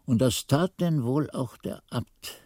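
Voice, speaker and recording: A man playing a devout, learned monk who recalls his novice days in measured, reverent radio play narration. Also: medium pitch, dark tone, no echo, studio quality. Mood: calm, confiding. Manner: measured, reverent, ornate phrasing. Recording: music under the voice.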